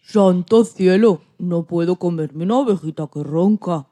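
A woman acts out a grumpy old storybook wolf with a mellow, dark timbre. He is always hungry, exclaims theatrically and hides a soft heart. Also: fairly low pitch, a little slow, lightly acted. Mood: exasperated. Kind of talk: children's story radio play.

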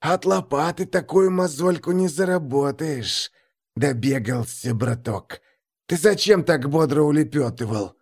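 A man's voice: a little high, measured pace, gravelly, a little mellow, husky, exaggerated voice. Audiobook villain: lean male bandit voiced by a man, sly menace, hissing delivery. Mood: menacing, mocking. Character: wiry, nasty, sly bandit of middling height.

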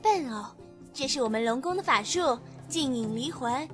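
A woman's voice: high-pitched, animated, moderate pace. A woman playing a bold, girlish dragon princess, pert and teasing, in a Chinese fantasy radio drama. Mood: teasing pout. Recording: music under the amateur drama voice. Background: background music.

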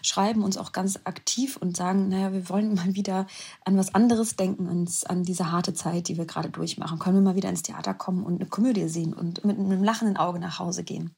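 Husky, creaky-voiced woman. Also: fairly low pitch, fairly even intonation, brisk, darkish tone. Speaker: theatre dramaturg being interviewed. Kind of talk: conversational interview explanation.

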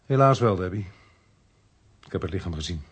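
A low-voiced, sober Dutch police inspector. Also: male voice, lively intonation, a little fast, husky, no echo, full character voice. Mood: grave, gentle. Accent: Netherlands Dutch. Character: sober, dogged male police inspector telling his own story. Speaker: actor, lead role and narrator of a radio play. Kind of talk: Dutch radio drama acting and first-person narration.

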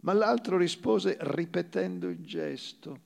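A man narrates literary fiction as if on stage. His voice is thick with creak and sits a little higher than average. He takes his time, phrasing slowly and deliberately and leaving dramatic pauses. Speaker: actor reading a short story.

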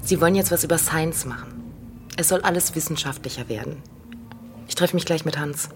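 A female actor in a radio play playing a sober, self-assured professional woman with a measured, matter-of-fact manner. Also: sits low, a little hiss or hum, natural voice. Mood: earnest.

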